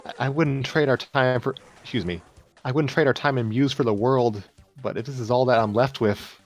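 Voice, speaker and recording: A man voicing a wistful, self-doubting young woman, a former idol, in a halting story reading with stumbles and repeats. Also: medium pitch, faint music behind, pitched above the performer's own voice, close to an everyday voice. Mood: uneasy, nostalgic. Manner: halting, repeats and restarts lines. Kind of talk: dramatic fan fiction reading.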